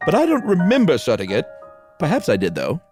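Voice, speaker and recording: A man doing a wary, higher-voiced storybook fellow. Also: wildly swooping pitch, a little fast, music in the background. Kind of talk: comic dramatic reading of old ghost story.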